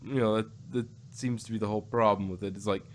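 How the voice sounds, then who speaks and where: A man's voice: lowish voice, fairly even intonation, quick pace. A man chatting casually as co-host of a review show, rambling and repeating his phrases as he goes. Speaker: podcast co-host reviewing audio dramas. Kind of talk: casual discussion. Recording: noticeable background noise.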